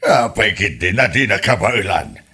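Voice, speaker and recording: A man as a bright-voiced, raspy elder brother. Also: on the low side, animated, moderate pace, full character voice. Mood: doubtful, advising. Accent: Ilocano. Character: sensible, protective elder brother in village family. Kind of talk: Ilocano comedy radio drama.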